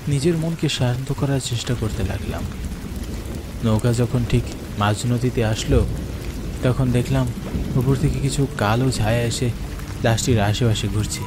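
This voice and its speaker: A man's voice: medium pitch, moderate pace, long pauses. A man giving dramatic, scripted first-person narration of a horror story in a theatrical, earnest manner. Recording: clear rain noise behind the voice. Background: falling rain.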